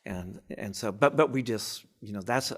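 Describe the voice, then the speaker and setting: A man's voice: fairly low pitch, normal speed, a bit bright. A man speaking as an expert guest in an informal interview, stammering and repeating words as he explains.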